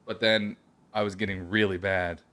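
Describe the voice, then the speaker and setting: A man's voice: deep voice, level tone, moderate pace, a crowd in the background. Joking podcast co-host, a man. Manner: joking, explains things at length. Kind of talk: casual chat. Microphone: headphones with a remote call setup.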